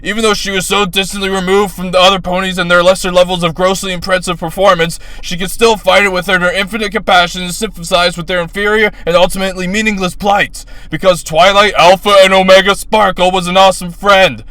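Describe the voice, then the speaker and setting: A man giving a bombastic, grandiose dramatic reading of a comic fan story in a strained, loud delivery. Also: sits high, flat delivery, quick pace, few pauses, dry recording. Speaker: fan fiction reader.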